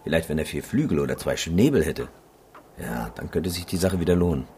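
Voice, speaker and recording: Very deep, cynical war photographer. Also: male voice, animated, fairly quick, dark tone, a little hiss or hum. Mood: dry, dismissive. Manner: dry, cynical. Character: world-weary, sceptical male war photographer. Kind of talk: radio drama dialogue.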